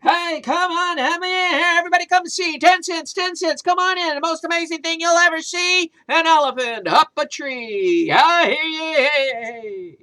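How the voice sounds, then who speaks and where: A woman voicing a brash circus barker hawking tickets at a tent, loud and quick. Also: very high voice, voice pushed up. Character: loud carnival barker calling in a crowd.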